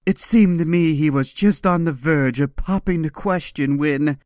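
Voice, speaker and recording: A man's voice: fairly high pitch, unhurried, a little mellow, no echo, studio quality, natural voice. A man voicing a lovestruck, dreamy young comic-book heroine in a melodramatic performance. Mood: wistful.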